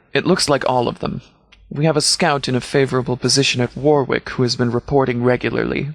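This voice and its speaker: A man playing a stern, composed sheriff in a video game, speaking in a measured, formal, authoritative way. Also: mid-range voice, no echo, close to an everyday voice. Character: stern, composed male sheriff leading a post-apocalyptic militia. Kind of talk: scripted video game dialogue.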